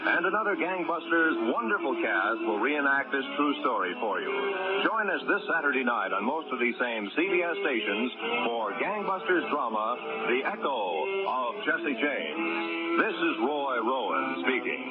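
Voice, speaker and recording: A man announcing in a formal, crisp 1940s radio announcer style. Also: mid-range voice, very animated, moderate pace. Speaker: network announcer. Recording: tinny 1940s radio broadcast with music.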